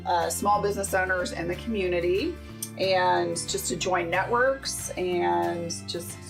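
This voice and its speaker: A woman hosting a casual interview show, bubbly and enthusiastic, quick to laugh along with her guest. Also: lowish voice, steady tone, gravelly, music in the background. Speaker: show host.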